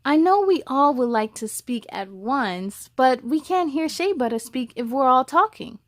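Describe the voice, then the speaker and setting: A woman voicing a kind but gently firm first-grade teacher in a storybook, addressing a class politely. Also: sits high, lively intonation, unhurried, dry recording.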